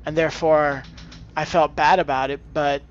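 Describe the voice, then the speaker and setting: A man's voice: on the high side, flat delivery, moderate pace, lo-fi sound, faint music behind. A man giving casual live gaming commentary and tips, thinking aloud as he plays. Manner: hesitant, thinking aloud, exclaiming at the game. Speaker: game streamer.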